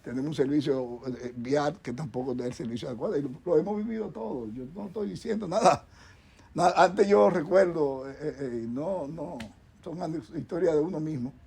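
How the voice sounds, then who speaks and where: Man, Dominican accent, middle-aged, doctor guest, rambling, repeats words, mellow voice. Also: a little high, brisk, gravelly, husky. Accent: Dominican Spanish. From Dominican Republic. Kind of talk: casual chat on a talk show panel.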